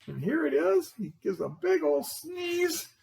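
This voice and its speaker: Gravelly high-voiced man reading aloud. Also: wildly swooping pitch, measured pace, a little mellow, far from the mic. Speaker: story reader. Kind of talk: picture book read-aloud with asides.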